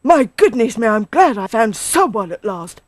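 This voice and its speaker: A woman voicing an excitable, anxious badger father in a children's story, exclaiming emphatically. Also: fairly high pitch, normal speed, dry recording, pitched above the performer's own voice. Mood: relieved, excited.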